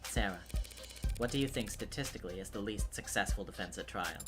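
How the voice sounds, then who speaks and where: A man's voice: lowish voice, brisk, music in the background, pitched below the performer's own voice, close to an everyday voice. A man voicing a cynical, hard-edged defense lawyer in a video game, with a clipped, lecturing manner. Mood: probing. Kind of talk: video game character voice acting.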